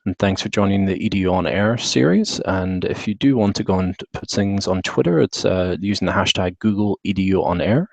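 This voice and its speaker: A man from the UK with a British accent, presenting a technical session at an online conference in a relaxed way, with many fillers and restarts. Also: sits low, a little fast, creaky, few pauses, dry recording. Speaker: webinar presenter.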